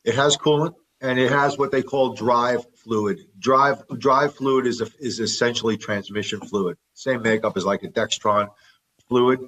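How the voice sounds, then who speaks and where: A man's voice: medium pitch, fairly even intonation, measured pace, fairly bright tone, poor audio. A middle-aged man with a New York accent, talking as a guest from his repair shop. He is practical and plain-spoken, often doubling words while he thinks. There is faint noise behind his remote line. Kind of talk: remote interview.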